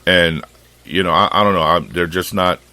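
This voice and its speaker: A man's voice: sits low, moderate pace, bright, forceful tone. An American man talking casually on a phone call, explaining things in an informal, rambling way. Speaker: fish shop owner and podcast host.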